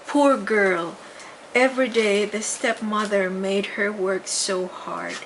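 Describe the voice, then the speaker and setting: An older woman narrating a children's bedtime story with deliberate, dramatic emphasis. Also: medium pitch, fairly even intonation, low-quality recording. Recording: faint background noise.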